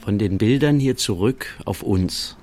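A man narrating a scripted, verse-like radio play in a deliberate, declarative way. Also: lowish voice, a little mellow, slight background noise.

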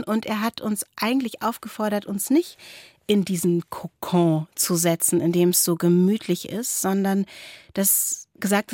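A woman co-hosting a podcast in reflective conversation, building her thoughts in measured short phrases. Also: mid-range voice, darkish tone, no echo, clean studio sound. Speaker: podcast co-host.

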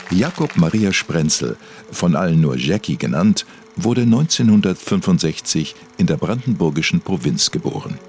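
A man reading a scripted mock-documentary biography in a measured, matter-of-fact way. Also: sits low, animated, darkish tone, a little hiss or hum. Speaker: documentary narrator.

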